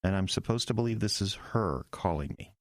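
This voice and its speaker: An actor playing an earnest, skeptical young pastor with a hesitant, halting way of talking in an audio drama. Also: male voice, deep voice, expressive, dry recording, studio quality. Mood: firm, doubting.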